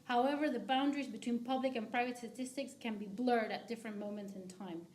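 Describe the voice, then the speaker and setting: A woman from Argentina giving academic commentary as a discussant, halting often with restarts and fillers. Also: on the high side, fairly even intonation, a little mellow, reverberant, slight background noise. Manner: hesitant, many restarts and fillers. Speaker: discussant at a book talk.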